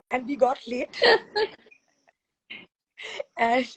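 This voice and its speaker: A woman hosting a casual interview over a video call, chatty and rambling, her voice husky from teaching back-to-back classes. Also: sits high, very animated, extremely slow, rough recording. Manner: chatty, rambling, laughs often. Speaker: fitness expert and host.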